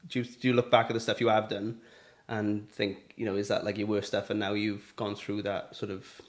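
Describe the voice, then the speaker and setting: A man's voice: fairly low pitch, level tone, fairly quick. A man hosting an interview, asking friendly, rambling questions full of ums and restarts. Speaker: podcast host. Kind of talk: casual interview chat.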